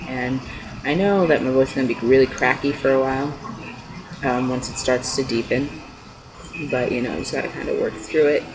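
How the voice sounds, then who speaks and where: A young man chats casually and unscripted in a rambling, hesitant way, like a personal video blog. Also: a little fast. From California, United States. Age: young adult. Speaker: video blogger. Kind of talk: casual unscripted chat to camera. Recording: noisy low-quality amateur webcam-style audio.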